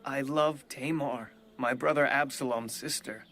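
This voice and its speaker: An actor playing a lovesick, scheming young prince in a dramatized audio Bible. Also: male voice, mid-range voice, fairly even intonation, measured pace, fairly bright tone.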